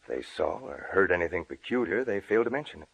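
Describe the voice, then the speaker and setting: An actor playing a grave, matter-of-fact lawyer with a husky voice and measured authority in an old-time radio drama. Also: male voice, sits low, lively intonation, full character voice, rough recording. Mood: grave, firm.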